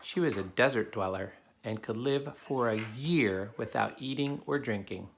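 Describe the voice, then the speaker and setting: A man's voice: medium pitch, moderate pace. A man reading a children's picture book aloud, explaining things simply as he talks to a child. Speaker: adult reading to a child helper. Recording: clear background noise.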